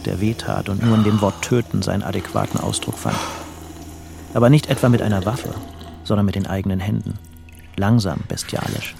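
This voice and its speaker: A man narrating a literary radio play in a measured, deliberate and dramatic way. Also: on the low side, creaky, background noise.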